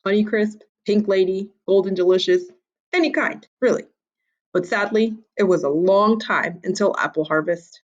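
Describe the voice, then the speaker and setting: A woman's voice: mid-range voice, muffled recording. A woman voicing a sly, hungry storybook wolf who narrates his tale to children in a wry, theatrical, confiding way.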